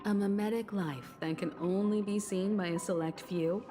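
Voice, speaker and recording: An actress playing a composed, perceptive woman in a video game, explaining things in a poised, deliberate way. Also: medium pitch, steady tone, lightly acted. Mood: knowing, composed. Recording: long hall reverb, faint music.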